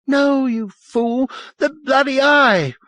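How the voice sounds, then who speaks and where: A man's voice: very animated, moderate pace, husky, dry recording. A man voicing a frightened, snappish, hysterical woman in a piercing, agitated, breathless way for an audio story. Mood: furious. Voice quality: piercing, shrill.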